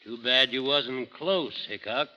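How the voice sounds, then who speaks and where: A sly frontier outlaw in a vintage radio drama. The voice is very sharp and forceful, with clipped, taunting delivery, on a dull old recording. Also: male voice, medium pitch, unhurried. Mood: sly, needling. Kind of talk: 1930s radio acting.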